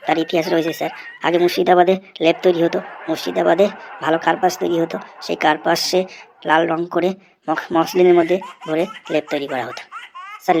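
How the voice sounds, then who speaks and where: An eager, cheeky cartoon schoolboy, voiced by a woman, earnest and long-winded. The voice sits a little low, deferential and rambling, with faint noise behind it. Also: vocal fry, a little mellow. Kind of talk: Bengali comedy cartoon voice acting, recitation.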